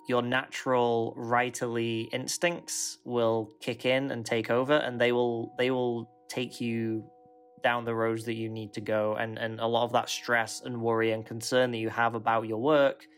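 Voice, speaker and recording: A man's voice: medium pitch, fairly bright tone. A man speaking as a writer, giving reflective personal advice in an informal, thoughtful manner.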